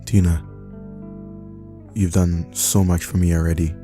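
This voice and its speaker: A man voicing a gentle, worried teenage boy in love, speaking earnestly in an audiobook story. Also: low voice, little pitch movement, a little slow, soft background music. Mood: worried, tender. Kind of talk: audiobook character dialogue.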